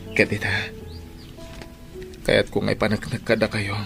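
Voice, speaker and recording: An actor playing a remorseful young father in an Ilocano radio drama, speaking earnestly and haltingly with emotion. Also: fairly low pitch, monotone, creaky. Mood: remorseful, pleading. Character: remorseful young father pleading for a second chance. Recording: music bed behind the voice.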